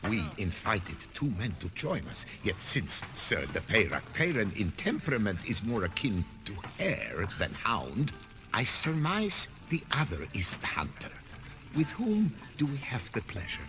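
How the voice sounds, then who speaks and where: A man playing a haughty, mocking gentleman in a fantasy video game, with formal, sardonic, archaic phrasing. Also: on the low side, wildly swooping pitch, a little slow. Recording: music playing behind.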